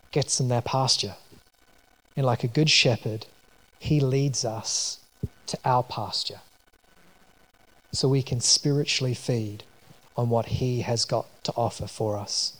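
A man from New Zealand with a New Zealand accent, preaching a sermon in a conversational way, with many fillers and restarts. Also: mid-range voice. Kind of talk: sermon with personal story. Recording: long hall reverb.

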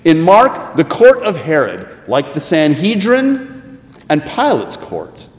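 An American man preaching a sermon, telling a scripture story with deliberate, emphatic phrasing and pauses for effect. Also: fairly high pitch, huge pitch swings, dull audio. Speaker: preacher, pastor. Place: church sanctuary. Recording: echoey, reverberant hall.

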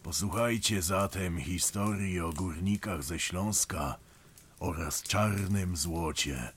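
Very deep, slow male storyteller. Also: fairly bright tone, slight background noise. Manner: solemn, unhurried. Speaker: narrator. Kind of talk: scripted storytelling narration.

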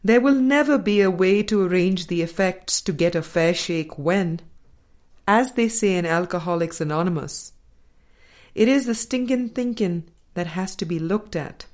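A woman reading a spiritual self-help audiobook in a measured, deliberate way. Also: on the low side, a little mellow. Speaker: audiobook reader.

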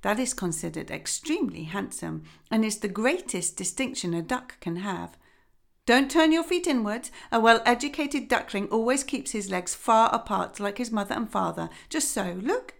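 A woman voicing a fretful, fussy mother duck, higher and slower than her narration. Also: lively intonation, vocal fry. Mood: instructive. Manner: fussing, scolding, proud.

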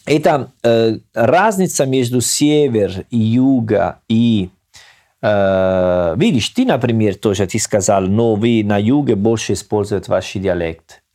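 A man from southern Italy, a native Italian speaker with an Italian accent, talking casually in a learned language with many hesitant pauses. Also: on the low side, dark tone. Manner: hesitant, halting non-native phrasing. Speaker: guest. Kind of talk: casual conversation.

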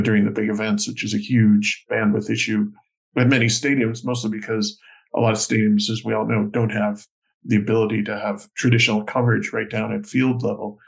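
Male interviewer, creaky low-end fry, conversational business-talk delivery. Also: low-quality recording. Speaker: interviewer, host.

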